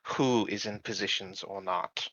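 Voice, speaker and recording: A man playing a formal, guarded corporate agent in a tabletop roleplaying game, choosing measured, evasive words. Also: lowish voice, steady tone, fairly bright tone, dry recording, highs cut off. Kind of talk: improvised tabletop roleplay dialogue.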